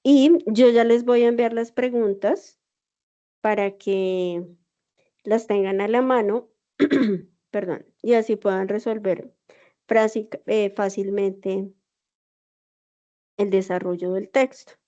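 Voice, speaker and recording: A woman's voice: medium pitch, fairly even intonation, takes it slowly, long pauses, mellow. A woman teacher with a Bogotá accent explaining an assignment step by step in an online class, patiently checking that students follow. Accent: Bogotá Spanish. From Colombia. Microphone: video call. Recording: dull lo-fi video call audio.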